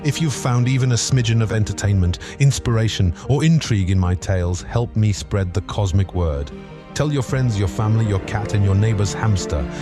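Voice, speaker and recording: A man's voice: fairly low pitch, animated, normal speed, music in the background. A man hosting his own podcast, reading a scripted promo with earnest, grandiose cosmic phrasing. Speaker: podcast creator and host.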